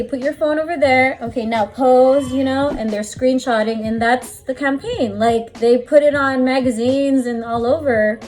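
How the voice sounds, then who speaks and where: A woman's voice: fairly high pitch, a little mellow. Upbeat chatty woman stylist. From Philippines. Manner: upbeat, chatty, lots of "like" and "you know". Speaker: fashion stylist. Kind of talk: casual interview.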